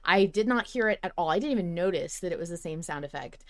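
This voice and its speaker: A woman's voice: lowish voice, expressive, fast talker, dry recording. A woman hosting a fan podcast in casual, effusive chat with easy swearing. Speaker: podcast host.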